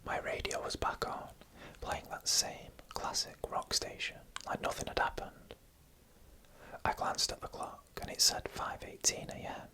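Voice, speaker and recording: A narrator telling eerie stories in a breathy, unvoiced whisper, in deliberate short phrases for ASMR. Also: bright, forceful tone. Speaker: ASMR storyteller.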